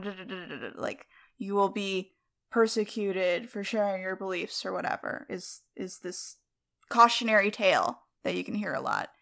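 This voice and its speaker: A woman co-hosting a podcast, talking casually in a halting, conversational way with trailing asides. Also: mid-range voice, a bit bright. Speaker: podcast co-host. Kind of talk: casual discussion.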